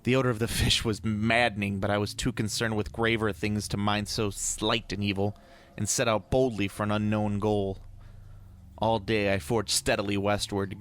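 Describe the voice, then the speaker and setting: A man reading a horror tale aloud as a dramatic audiobook narrator, in a deliberate, theatrical storytelling manner. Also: fairly low pitch, a bit bright. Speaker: podcast host reading a story. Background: ambient soundscape.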